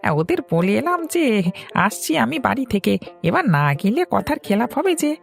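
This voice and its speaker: A woman's voice: on the high side, sing-song, normal speed, vocal fry, soft background music. A woman voicing a cheerful, busy village husband in a cartoon, coaxing and friendly. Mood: placating. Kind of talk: cartoon voice acting.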